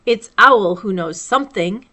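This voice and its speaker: A woman's voice: mid-range voice, expressive, a little slow, sharp tone. A woman voicing an earnest, helpful toy bear from a storybook, plain-spoken and solemn. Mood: musing.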